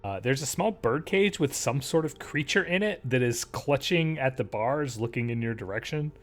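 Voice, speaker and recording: A man running a tabletop game as game master, describing scenes in a relaxed way with many pauses. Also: mid-range voice, faint music behind.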